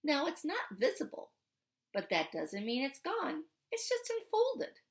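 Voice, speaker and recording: A woman voicing a gentle, affectionate father in a storybook, tender and softly teasing, with an American accent. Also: high voice, wildly swooping pitch, unhurried, voice pushed up, heavily acted. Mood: reassuring. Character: gentle, affectionate, playful father of a young girl.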